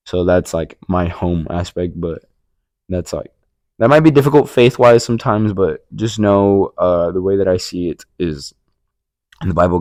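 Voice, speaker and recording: A young man with a very low, deep voice talks through his story in a loose, casual way. He rambles, restarts his sentences and leans on filler words. The sound is dry and close, with no room echo. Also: darkish tone. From Puerto Rico. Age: young adult. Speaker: podcast co-host. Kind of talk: casual testimony chat.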